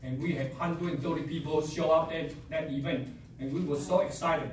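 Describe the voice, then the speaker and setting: A man with a strong Chinese accent giving a halting, upbeat presentation at a public meeting, with many fillers. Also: on the high side, steady tone, slight background noise. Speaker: association presenter. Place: meeting room. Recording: echoey room, mic at a distance.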